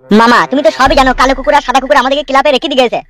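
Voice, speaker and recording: Someone playing a whiny, fast-talking cartoon nephew. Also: female voice, high-pitched, fairly even intonation, a bit bright. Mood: protesting. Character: cheeky, complaining young nephew, a political party hanger-on. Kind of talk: comic cartoon dialogue.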